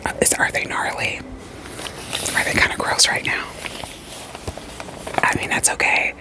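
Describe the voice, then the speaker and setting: A woman playing a calm, reassuring therapist in an ASMR roleplay, whispering softly and breathily with gentle, deliberate questions. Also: close to an everyday voice. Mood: gentle, probing. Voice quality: soft, breathy, mostly unvoiced whisper. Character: calm, reassuring female therapist screening patients for werewolves.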